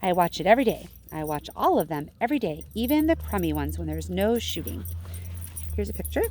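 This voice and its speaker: A woman's voice: on the low side, big pitch swings, a little fast, a little mellow, slight background noise. A woman voicing a brusque, enthusiastic, TV-obsessed nine-year-old boy in a children's storybook. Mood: eager, thrilled. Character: irritable, TV-obsessed nine-year-old boy who loves gangster shows. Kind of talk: children's storybook read-aloud.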